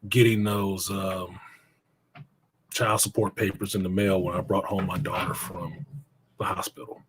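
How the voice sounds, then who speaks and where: A man's voice: deep voice, level tone, a little slow, slight background noise, low-quality recording. A middle-aged man speaking as an interview guest, telling his own story in a blunt, plainspoken way and cursing now and then.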